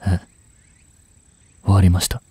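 A man in his twenties playing a remorseful, grieving young hitman in a voice drama, breathy and anguished. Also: darkish tone, close to an everyday voice. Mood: gentle, holding back. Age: 20s. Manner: anguished, halting, intimate. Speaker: voice actor. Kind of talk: Japanese voice drama monologue, emotional acting.

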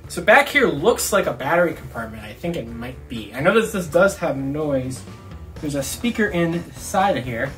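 A man's voice: fairly high pitch, a bit bright. Young man, rambling casually. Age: young adult. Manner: rambling, thinking aloud. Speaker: toy reviewer. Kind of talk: casual unboxing chat to camera. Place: small room at home, indoors.

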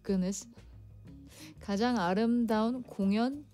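Korean-accented female livestream host, 30s, chatty and soft, studio mic in a cozy room, faint piano. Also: fairly high pitch, rapid-fire. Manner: chatty, laughs often, soft and friendly. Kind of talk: casual chat with viewers, reading donations. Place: cozy home music room with Christmas decorations. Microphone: studio condenser mic on stand.

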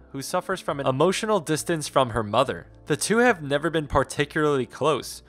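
A man reading a scripted game review in a measured, formal way. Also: mid-range voice, a bit bright. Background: background music.

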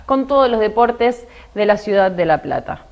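A woman's voice: mid-range voice, expressive, moderate pace, a little mellow, dull audio. A young woman with a Rioplatense accent hosting a local TV sports show, bubbly and giggly. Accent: Rioplatense Spanish. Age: young adult. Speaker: TV host. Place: TV studio set.

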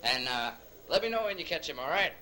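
A man playing a chummy, flattering mayor in an amateur audio drama. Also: on the high side, brisk, poor audio. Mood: eager. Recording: home tape with faint background noise.

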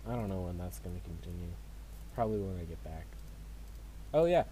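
A man's voice: low-pitched, animated, brisk, warm tone, a little hiss or hum, poor audio. A man hosting a gaming video, making dry, teasing remarks and telling viewers about upcoming videos. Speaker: gaming channel host. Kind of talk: casual gaming chat.

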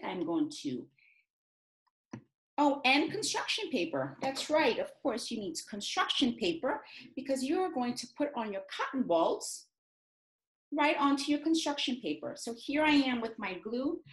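A woman in her forties teaching young children in an expressive, encouraging, sing-song way, as in a read-aloud and craft lesson. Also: on the high side. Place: small indoor home classroom. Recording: roomy, echoey home room sound.

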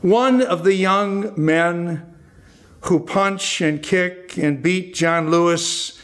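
Older American male pastor for a sermon, fairly high-pitched, very unhurried, weighing and stressing each phrase. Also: fairly bright tone. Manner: deliberate, emphatic phrasing with pauses. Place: indoors at a church lectern.

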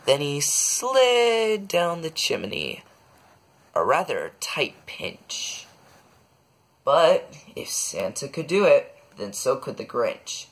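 Guy reading a kids bedtime story, raspy-ish voice, really unhurried, dramatic pauses. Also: fairly high pitch, huge pitch swings, fairly bright tone. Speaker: storybook reader.

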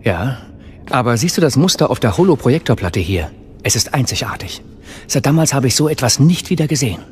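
A man's voice: medium pitch, sing-song, brisk, a little hiss or hum, natural voice. An actor playing a cautious, wry male warrior mentor in an audio drama, admonishing his pupil. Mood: calm, cautious.

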